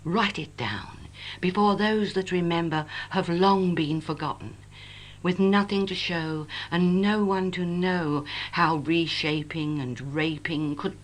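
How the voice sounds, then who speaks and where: An English woman reciting her own poem in a wistful, lyrical and measured way, on an old home cassette recording. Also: low voice. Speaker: poet reading own work. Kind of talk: expressive poetry recitation. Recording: noisy 1980s home cassette, humming. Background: tape noise and hum.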